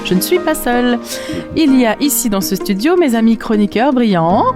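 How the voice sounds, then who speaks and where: A woman's voice: fairly high pitch, lively intonation, moderate pace, over music. A woman presenting a radio worship show in a studio, welcoming and addressing listeners directly in a chatty, prepared style.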